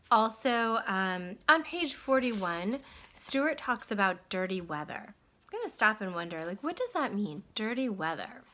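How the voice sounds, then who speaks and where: A woman teacher reading a storybook aloud to children in an expressive, guiding way. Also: mid-range voice. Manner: addresses children directly, guiding them with questions. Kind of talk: read-aloud lesson, expressive narration.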